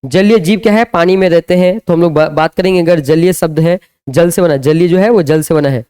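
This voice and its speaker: A man's voice: fairly high pitch, a little fast, mellow, smooth. A man teaching a live online grammar lesson, quizzing students with repeated questions and answering them himself.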